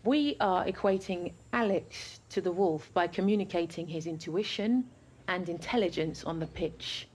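A woman playing a businesslike marketing presenter making a deliberate pitch in a game cutscene. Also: darkish tone, a little hiss or hum, natural voice. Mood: deliberate, persuasive.

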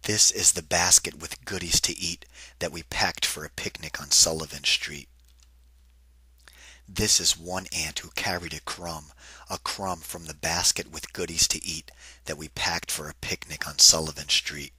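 A man giving an interactive children's read-aloud in a deliberate, singsong rhythm, like a teacher with a picture book. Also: deep voice, steady tone, sharp tone, breathy edge, dry recording.

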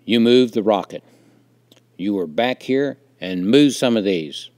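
A man voicing a stern, authoritative father in a story, speaking firmly and deliberately to his son. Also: lowish voice, lively intonation, mellow. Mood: grim, accusing. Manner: firm, commanding, deliberate.

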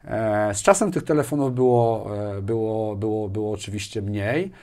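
A man's voice: fairly low pitch, moderate pace, darkish tone. A man in his forties speaking casually as an interview guest, often repeating phrases as he thinks aloud. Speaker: interview guest, business executive. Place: small furnished studio room with bookshelves, indoors. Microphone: lapel mic.

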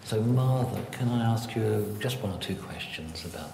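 A man asking calm, careful questions in a formal hearing, with a gentle manner. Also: on the low side, moderate pace, vocal fry, reverberant, background noise.

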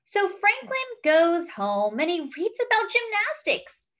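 A woman reading a picture book aloud to young children, with expressive, drawn-out delivery and chatty asides. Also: very high voice, highs cut off.

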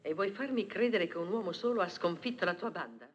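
A woman's voice: on the low side, animated, quick pace, in a large hall, dull audio, a little hiss or hum, lightly acted. A woman playing a vindictive, domineering villainess with a scornful, accusing manner in a vintage film. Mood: scornful, angry. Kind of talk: vintage Italian film acting.

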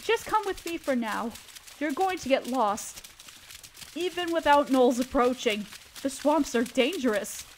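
A woman playing a gentle, anxious, motherly spider queen in an audio roleplay, speaking tenderly and pleadingly, with careful phrasing. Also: sits high, expressive, unhurried, slight background noise. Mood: alarmed, pleading. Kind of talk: scripted audio roleplay addressed to listener.

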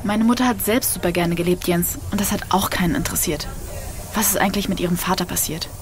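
A woman's voice: fairly low pitch, fast, music in the background, natural voice. An actress playing a defiant, grieving young woman in an audio drama, blunt, sarcastic and slangy. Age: young adult. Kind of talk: naturalistic German audio drama acting.

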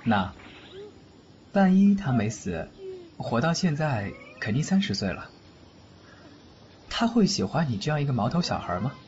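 A man's voice: medium pitch, big pitch swings, measured pace, long pauses, a little mellow. A man playing a teasing godfather versed in spirit magic, in a theatrical audio drama style. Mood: knowing, explaining. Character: teasing, age-shy godfather who knows spirit magic. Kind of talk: Chinese audio drama acting. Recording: muffled lo-fi, faint noise.

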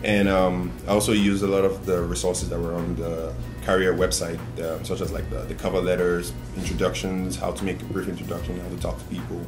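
A young man gives interview answers in a deep voice. His delivery is fairly flat. Music plays behind him. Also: brisk, hardly any pauses. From Nigeria. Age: young adult. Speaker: graduate student interviewee. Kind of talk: interview testimonial. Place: small indoor meeting room.

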